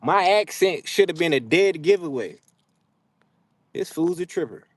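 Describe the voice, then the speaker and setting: A man's voice: on the high side, unhurried, no echo. Slangy male livestreamer, dry close sound. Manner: playful trash talk, animated, drawling slang. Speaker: livestream host. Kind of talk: casual live chat with viewers. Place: outdoors, frogs nearby.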